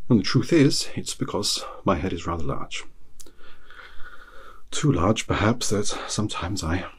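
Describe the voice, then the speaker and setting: Casting: male actor as indecisive living tarot figure, ASMR roleplay, extremely low and heavily husky, wry, rambling, self-deprecating. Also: lively intonation, a little slow, heavily acted. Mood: wryly musing. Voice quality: low, husky, breathy half-whisper. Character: the Hanged Man tarot card, musing, indecisive, wry.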